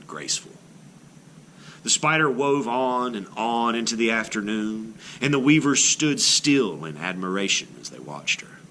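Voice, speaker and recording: A man reading a children's story aloud in an expressive, theatrical style, like a teacher to a class. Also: lowish voice, slight background noise. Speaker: art teacher reading a picture book aloud.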